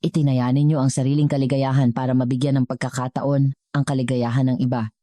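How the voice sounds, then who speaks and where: A woman's voice: rumbling low, little pitch movement, fast talker, dark tone, dry recording, clean studio sound. A woman voicing an affectionate, grateful adult daughter, tender and earnest, in a storybook reading. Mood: tender gratitude. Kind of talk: scripted storybook dialogue.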